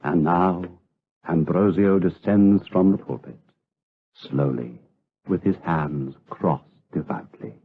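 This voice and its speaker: A man playing a solemn, rapt narrator in a gothic audio drama, speaking in a grave, measured, ornate way. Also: sits low, breathy edge, full character voice. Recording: muffled narrow-band sound with music behind.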